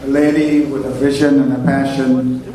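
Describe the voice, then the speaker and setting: A man's voice: medium pitch, level tone, moderate pace, mellow. A man acting as master of ceremonies, introducing speakers effusively and urging applause. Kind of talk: event emcee introductions. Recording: echoey hall from far off, crowd noise.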